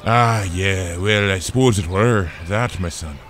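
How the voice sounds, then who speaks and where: A man's voice: sits low, unhurried, a bit bright, a little hiss or hum. An actor playing a kindly, proud older parish priest in an audio drama, with a folksy, hesitating, fatherly way of talking. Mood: fond, proud.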